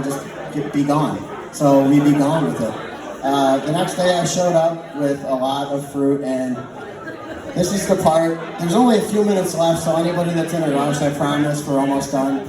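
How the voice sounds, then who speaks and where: Young male amateur comic, slightly high voice, loose anecdotal delivery, recorded from far off in a very echoey room. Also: normal speed. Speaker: amateur stand-up storyteller. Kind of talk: rambling anecdotal stand-up comedy storytelling. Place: large echoey indoor space with live audience. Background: audience laughter and chatter.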